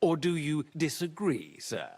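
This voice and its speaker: Pompous villain, wildly swooping, husky. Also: male voice, a little high, big pitch swings, unhurried, a little mellow, full character voice. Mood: smug. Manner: pompous, mock-polite, threatening. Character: pompous, menacing royal mint master, a villain. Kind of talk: dramatic video game acting.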